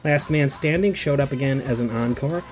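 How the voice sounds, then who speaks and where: A man's voice: mid-range voice, moderate pace, soft background music. A man hosting a podcast, talking casually with fillers and then reading a prepared roundup. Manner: conversational, hesitant with fillers, reads a prepared roundup. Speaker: podcast host. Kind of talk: casual podcast chat and show recap.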